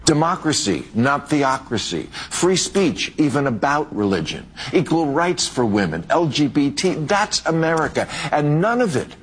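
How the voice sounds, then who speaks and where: A man's voice: a little high, sing-song, moderate pace, hardly any pauses, breathy edge. A man delivering a punchy, sarcastic monologue before a live audience, in a played clip. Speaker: comedian-commentator in an archive clip. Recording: clip with audience noise behind.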